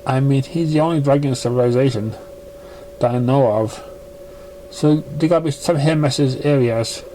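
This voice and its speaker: A man reading a story aloud as an amateur narrator, stumbling and halting over the words. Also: medium pitch, dark tone, low-quality recording. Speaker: amateur fan fiction reader. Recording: noticeable background noise, amateur recording.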